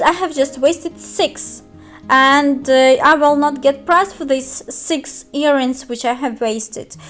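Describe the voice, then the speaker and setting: A young woman with a Russian accent explains a strategy game step by step, her voice pitched high and bright. She speaks carefully, pausing on fillers as she searches for words. Soft music plays faintly underneath. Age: young adult. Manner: careful, hesitant, frequent fillers. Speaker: game guide youtuber. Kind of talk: screen-recorded game tutorial.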